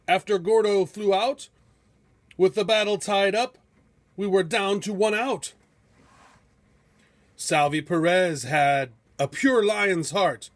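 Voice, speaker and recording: Male storybook narrator, high register, unhurried delivery, dramatic and measured, suited to heroic verse. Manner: theatrical, measured, epic storytelling. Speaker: storybook reader.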